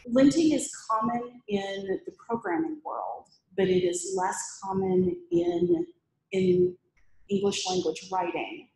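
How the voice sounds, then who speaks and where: Woman talking to a meetup crowd, bouncy pitch, bit of fry, echoey room. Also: slow. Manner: lively, chatty, self-deprecating humor. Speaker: conference speaker. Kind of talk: presentation talk with Q&A.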